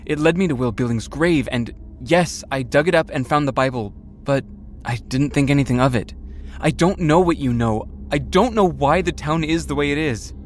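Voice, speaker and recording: A man's voice: fairly high pitch, moderate pace, a little hiss or hum, natural voice. An actor playing a secretive teenage boy who is caught out, defensive and pleading in an audio drama. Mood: confessing.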